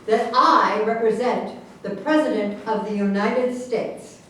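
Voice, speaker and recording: A woman's voice: on the high side, unhurried, fairly bright tone. A woman playing an authoritative general who mediates with formal exasperation in a staged reading of a satirical play. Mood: official. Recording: very echoey, noisy room, distant mic.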